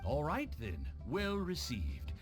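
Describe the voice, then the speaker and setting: A man's voice: medium pitch, huge pitch swings, takes it slowly, music in the background. A man voicing a polite, knowing and shady business contact in a video game. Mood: cryptic, measured. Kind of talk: scripted game dialogue.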